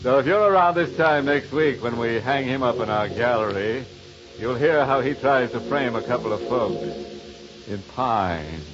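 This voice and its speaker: A man acts as a ghoulish, jovial host of a radio horror show, with a sardonic, theatrical delivery and a mid-pitched male voice. It is a dull 1950s recording with music clearly behind him. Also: normal speed, natural voice. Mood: sly sign-off.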